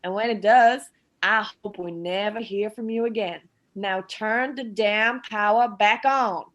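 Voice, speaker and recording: A woman playing a bossy, scornful middle-aged daughter-in-law with a sharp, sarcastic, commanding manner in a radio play. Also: medium pitch, a little slow, lo-fi sound, lightly acted. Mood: scornful, defiant. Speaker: actor in an amateur radio play.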